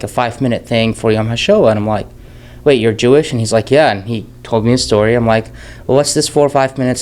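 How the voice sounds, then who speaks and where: A man's voice: medium pitch, darkish tone. A man in his thirties from Jordan, a filmmaker speaking candidly in an interview, often restarting his sentences. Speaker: filmmaker guest. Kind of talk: casual interview talk.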